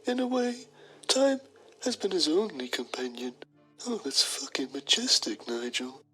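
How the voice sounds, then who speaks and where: A woman's voice: low voice, big pitch swings, measured pace, fairly bright tone, husky, soft background music, full character voice, rough recording. A woman playing a pretentious, self-admiring male journalist, narrating a mock documentary in a theatrical manner. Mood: mock poignancy. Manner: pompous, theatrical.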